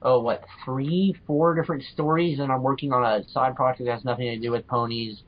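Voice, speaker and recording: A man's voice: medium pitch, steady tone, fairly quick. A man speaking as a guest in a casual podcast chat. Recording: dull, lo-fi podcast audio.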